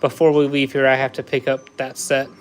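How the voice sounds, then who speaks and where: A man's voice: mid-range voice, completely flat delivery, brisk, clean tone. A man chatting casually with viewers while building a Lego set on a livestream, easygoing and laughing often. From USA. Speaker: livestream host.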